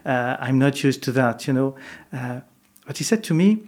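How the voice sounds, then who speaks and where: A man's voice: medium pitch, animated, normal speed, darkish tone. A man from France with a French accent, a monk telling stories in an interview, hesitant with many fillers and restarts. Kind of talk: reflective interview storytelling.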